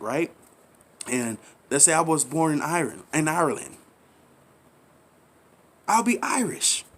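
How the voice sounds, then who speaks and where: A man giving a rambling, preachy monologue, repeating himself, asking rhetorical questions and urging listeners in casual slang. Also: fairly high pitch. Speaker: commentary channel host. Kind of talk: impassioned monologue.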